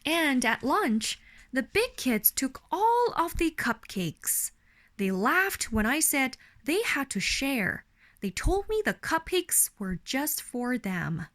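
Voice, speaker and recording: High, very lively Chinese-accented woman reading aloud. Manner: slow, animated storytelling for a young child. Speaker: parent reading a bedtime story to a child. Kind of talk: expressive read-aloud of a children's picture book.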